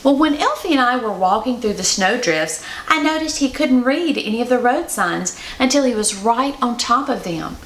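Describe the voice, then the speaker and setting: A kindly storybook grandmother, acted by a woman, reminiscing gently. The voice sits fairly high and carries clear echo from the room. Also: lively intonation, normal speed, hardly any pauses, slight background noise. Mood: explaining. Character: kindly grandmother telling her bedtime story. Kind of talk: children's storybook read-aloud.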